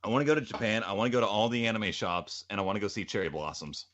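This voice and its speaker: A man's voice: lowish voice, lively intonation, very fast, fairly bright tone, muffled recording. A North American man hosting a casual group podcast with jokey, crude mock-indignant banter. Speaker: podcast host.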